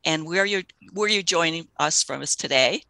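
Older woman hosting, gravelly dry headset voice. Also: deep voice, normal speed, pushed and bright, dry recording. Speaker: host of a folk music society session. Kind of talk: scripted introduction on a video call. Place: living room at home. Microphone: headset mic on a video call.

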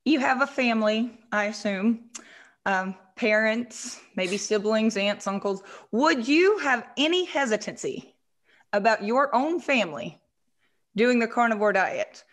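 A woman's voice: a little high, lively intonation, unhurried, fairly bright tone. A woman in her forties hosting a casual interview from her living room, asking chatty, friendly questions into a studio mic. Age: 40s. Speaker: host. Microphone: studio mic in view.

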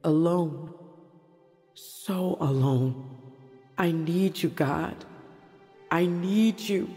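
Earnest, troubled churchgoing wife. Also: female voice, deep voice, mellow. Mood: pleading, repentant. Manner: earnest, confessional. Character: earnest, troubled pastor's wife confessing empty faith. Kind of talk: audio drama acting.

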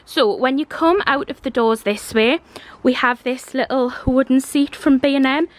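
A young woman with a Geordie accent and a fairly high-pitched voice. She talks in a chatty, informal way, like a vlogger showing viewers around on a handheld camera. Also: normal speed, no room sound. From Newcastle, North East England. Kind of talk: casual house tour narration. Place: walking through a house and garden. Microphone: handheld camera, held by speaker.

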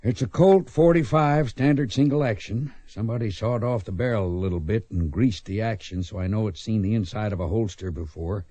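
Mellow, folksy Old West gun mentor played by a man. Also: fairly low pitch, fairly quick, few pauses, no room sound, lightly acted. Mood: musing. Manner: folksy, drawling country phrasing. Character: Lucius, gruff fond Old West gunfighting mentor. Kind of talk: radio drama acting, western.

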